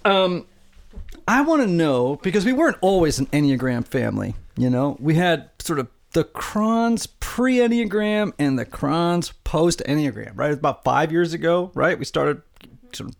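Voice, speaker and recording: A man's voice: on the high side, sing-song, moderate pace, gravelly, dry recording. A middle-aged man hosting a casual family podcast, upbeat and joking, rambling a little with fillers. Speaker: podcast host.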